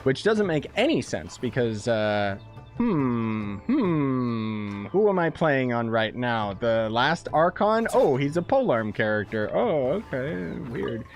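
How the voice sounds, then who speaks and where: Young male streamer for a let's-play, jokey and blunt, pitch bounces a lot. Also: sing-song, faint music behind. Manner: joking, blunt, quick to react. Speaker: gaming streamer. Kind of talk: casual let's-play commentary.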